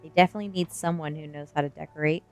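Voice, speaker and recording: A woman's voice: deep voice, steady tone, racing. Smug teenage boy, acted by a woman, taunting, cocky, storybook dialogue, light music behind. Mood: scheming. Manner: cocky, teasing.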